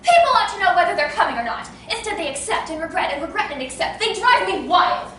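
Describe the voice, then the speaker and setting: A woman's voice: high voice, sing-song, fairly quick. A woman acting the part of an imperious, snobbish society hostess and mother in a stage comedy, melodramatic and easily enraged. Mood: despairing, frantic. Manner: melodramatic, indignant, commanding. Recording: echoey room, distant noisy mic.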